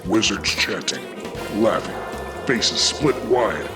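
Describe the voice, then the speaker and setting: An actor playing a grim, hard-boiled dragon detective, narrating a noir radio play in terse, brooding lines. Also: male voice, fairly low pitch, animated, takes it slowly. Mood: brooding narration. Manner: terse, brooding, menacing delivery. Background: loud music.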